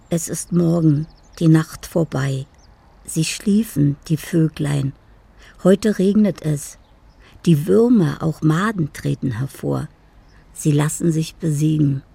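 A tender, eccentric middle-aged dreamer in an intimate radio drama. Her voice is very gravelly and slightly low, and she recites slowly and earnestly, close and dry. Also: dry recording, full character voice. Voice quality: creaky, soft. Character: odd, tender, lovesick woman reciting poetic letters. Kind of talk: intimate radio play monologue.

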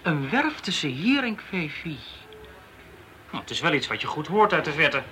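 An actor playing a wisecracking, grumbling police detective in a radio drama, with an ironic, bantering delivery. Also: male voice, on the high side, big pitch swings, normal speed, pushed and bright, soft background music. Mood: playful, ironic. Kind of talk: Dutch radio drama acting. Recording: radio play with faint background noise.

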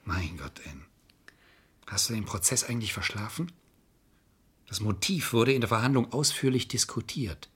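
An actor playing a cynical, sharp-tongued defence lawyer in a radio crime play, arguing in a sardonic, matter-of-fact way. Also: male voice, lowish voice, expressive, normal speed, pauses often, husky, exaggerated voice. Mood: sardonic, insistent.

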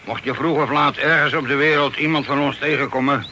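An actor playing a curt, threatening pirate in an old radio play with faint hiss. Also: male voice, mid-range voice, fairly even intonation, brisk, bright, forceful tone, lightly acted, rough recording. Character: gravelly pirate sending a captive off.

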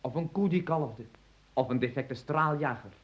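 A man's voice: on the high side, moderate pace, natural voice. An actor playing a prickly, officious, literal-minded man in an absurdist radio play, speaking formally and insistently with precise enunciation. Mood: matter-of-fact. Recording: faintly noisy old radio recording.

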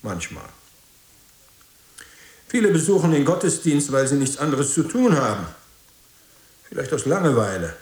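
An actor playing a weary, guarded pastor in a radio drama, curt and defensive, with hesitant moments. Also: male voice, fairly high pitch, very animated, moderate pace, long pauses, darkish tone, echoey room. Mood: subdued, guarded. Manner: curt, brusque, questioning, hesitant at times.

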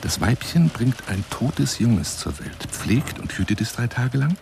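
A man's voice: mid-range voice, very animated, measured pace, gravelly, darkish tone, a little hiss or hum. An actor in a radio monologue playing a florid, wheedling lion hunter who courts a woman over the phone in an intimate, theatrical way. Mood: rapt, grandiose. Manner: grandiloquent, wheedling, intimate, dropping into whispers.

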